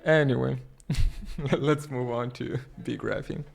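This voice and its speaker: A man from Lithuania hosting a livestream, talking haltingly with many fillers and restarts as he reads the chat aloud. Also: medium pitch, steady tone, vocal fry. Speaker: livestream host.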